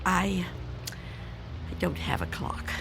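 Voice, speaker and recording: An actress playing an anxious, secretive, reclusive spinster in a radio drama, halting and fretful. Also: female voice, lowish voice, gravelly, background noise, exaggerated voice. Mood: evasive.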